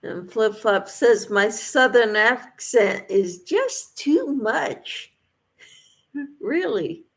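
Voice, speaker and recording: A woman's voice: fairly high pitch, animated, takes it slowly, few pauses, fairly bright tone. A woman hosting a casual livestream, reading out viewers' comments and replying to them in an encouraging way. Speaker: livestream host.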